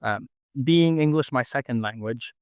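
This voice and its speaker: A man's voice: mid-range voice, normal speed, clean tone, dry recording, clean studio sound. A man from Mexico City with a Mexican accent and Spanish as his first language, answering interview questions conversationally as a restaurant owner and telling anecdotes. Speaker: restaurant owner and chef, podcast guest.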